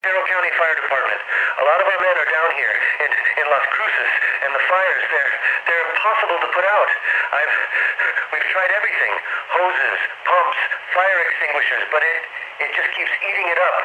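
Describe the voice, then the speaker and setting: A desperate firefighter played by a man radios in from a blaze in an audio drama. His voice is pitched high and very sharp, stumbling over words with urgency. The transmission is dull and faintly noisy. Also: expressive, a little fast. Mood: desperate, urgent. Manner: stammering, urgent. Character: desperate, panicked firefighter man reporting from a raging fire.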